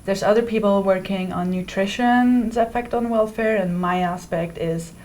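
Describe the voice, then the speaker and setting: A woman's voice: medium pitch, normal speed, a little mellow, slight background noise. A young woman from Switzerland, a PhD student, explaining her research in an interview with careful phrasing and some restarts. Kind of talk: interview answers. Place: indoor university room.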